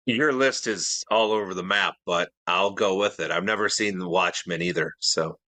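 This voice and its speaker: A man's voice: deep voice, moderate pace, pushed and bright, no room sound. A man co-hosting a podcast in casual, bantering conversation. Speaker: podcast co-host.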